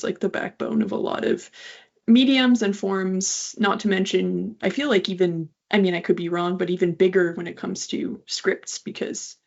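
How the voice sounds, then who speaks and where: A woman with a gravelly, mid-pitched voice asks questions as an interviewer. Her style is casual and exploratory, and the audio is somewhat dull. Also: darkish tone. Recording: dull, band-limited audio.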